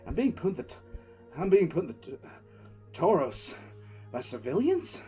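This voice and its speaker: A battered but fiercely dutiful commissar , played by a man, tells of war in an audio drama. His voice sits a little high, and he speaks intensely and haltingly, repeating phrases. Music plays behind him. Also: sing-song, mellow. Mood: dazed, straining. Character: battered, fiercely dutiful male military commissar recounting battle. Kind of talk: first-person audio drama narration. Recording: background music under the voice.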